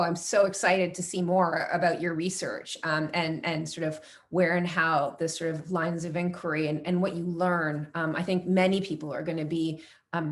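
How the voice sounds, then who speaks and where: A woman interviewing a guest in a casual conversation, eager and wordy, with many fillers like "sort of" and "um". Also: low voice. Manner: enthusiastic, wordy, full of fillers.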